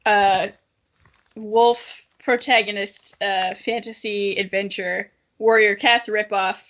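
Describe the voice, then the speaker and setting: A woman's voice: medium pitch, fairly even intonation, slow pace, fairly bright tone, dull audio. A woman co-hosting a podcast in casual chat, telling stories in a jokey way with some casual swearing. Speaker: podcast co-host.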